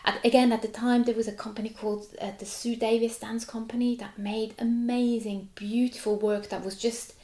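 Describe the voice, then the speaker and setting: A woman's voice: a little high, little pitch movement, a little fast, hardly any pauses, mellow, echoey room. A Swiss woman in her forties, German her first language, a contemporary dancer chatting animatedly in an interview with lots of "like" fillers and laughs. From Switzerland. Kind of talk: casual interview chat.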